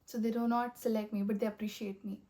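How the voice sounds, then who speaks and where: A young woman talking in a rambling, earnest way, full of fillers, with a soft voice that has a slight husky edge. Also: on the high side, flat delivery, fast talker. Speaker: vlogger. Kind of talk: casual motivational monologue.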